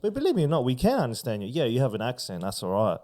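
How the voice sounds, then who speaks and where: A man with an Australian accent, chatting in a relaxed, rambling way as a podcast host. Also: medium pitch, rapid-fire. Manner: relaxed, rambling, uses filler phrases. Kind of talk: casual chat.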